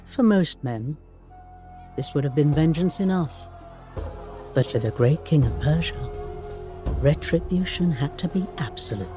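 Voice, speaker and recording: A man's voice: on the high side, expressive, a little slow, pauses often, a little mellow. A man playing a solemn ancient chronicler, narrating history for a video game in a grave, theatrical storytelling manner. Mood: solemn, dramatic. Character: male ancient chronicler recounting war with solemn relish. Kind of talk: dramatic scripted historical narration. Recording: studio voice-over under prominent music.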